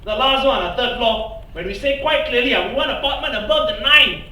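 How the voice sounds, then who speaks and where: A man's voice: very high voice, fairly quick, bright, forceful tone, a little hiss or hum, voice pushed up, exaggerated voice. A man voicing a fussy young Singaporean man who chats in Singlish with a lilting rise. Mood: earnest. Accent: Singaporean English. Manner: chatty, lilting Singlish rises. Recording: echoey stage from far off.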